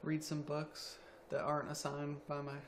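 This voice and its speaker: A young man around twenty, a college student athlete, answering interview questions quietly with many ums. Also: on the high side, monotone, moderate pace, slight background noise. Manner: quiet, soft-spoken, hesitant with ums.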